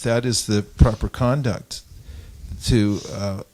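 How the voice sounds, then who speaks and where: A man speaking as a religious teacher in an informal group discussion, explaining his thoughts in a halting, reflective way. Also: on the low side, fairly even intonation, a little mellow. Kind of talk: informal spiritual discourse.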